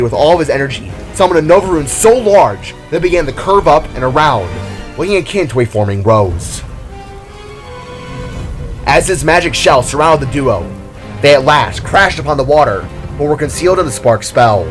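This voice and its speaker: A man reading scripted, dramatic story narration. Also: medium pitch. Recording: music and rain under the voice. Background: music, rain and thunder.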